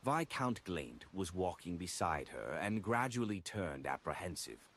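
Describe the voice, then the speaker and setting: A man with a husky voice reading a novel as a scripted audiobook narration. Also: deep voice, big pitch swings, unhurried.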